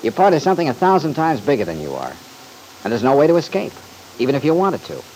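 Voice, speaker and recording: An actor playing an easygoing, thoughtful young man in an old-time radio soap opera, folksy, earnest and gently teasing. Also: mid-range voice, wildly swooping pitch, fast talker, low-quality recording. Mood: reflective. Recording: hissy vintage radio recording.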